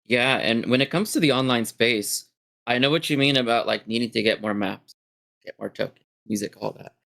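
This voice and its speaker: A man's voice: medium pitch, a little fast, vocal fry, a bit bright. A man talking on a casual podcast as a guest, thoughtful and often stopping to rephrase himself. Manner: thoughtful, circling back to rephrase. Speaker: podcast guest and game master.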